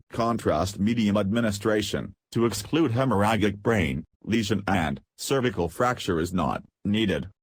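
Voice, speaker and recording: A man's voice: lowish voice, breathy edge, no room sound. A man presenting a medical lesson as formal, scripted educational narration, reading from a script.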